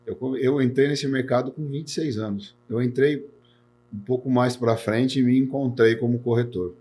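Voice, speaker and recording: A man's voice: medium pitch, steady tone, moderate pace, warm tone, husky. A man in his forties from Brazil, an insurance executive talking earnestly in a podcast interview and often adding "né". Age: 40s. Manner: earnest, repeats words, tags sentences with "né".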